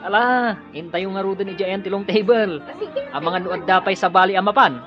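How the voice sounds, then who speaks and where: A man's voice: sits high, moderate pace, highs cut off, over music, natural voice. A Filipino man playing a joking, teasing friend in a radio drama.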